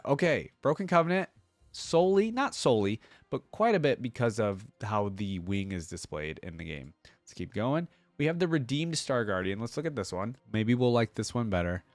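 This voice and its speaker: A man's voice: on the low side, dry recording. A man giving casual reaction commentary as a video creator, musing aloud informally and sometimes reading text out.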